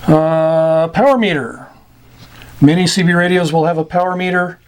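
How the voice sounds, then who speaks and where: An American man giving an informal, plain-spoken explainer of technical terms, pausing often between short phrases. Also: a little high, a little mellow, clean tone. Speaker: instructor explaining CB radio terms. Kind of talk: informal explainer, glossary-style lesson.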